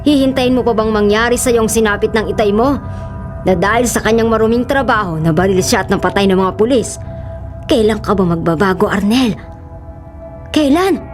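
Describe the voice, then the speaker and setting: Middle-aged mother, played by a woman, medium pitch, reproachful, pleading, radio drama, faint music. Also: moderate pace, lightly acted. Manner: scolding, pleading. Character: weary, reproachful mother of a criminal son.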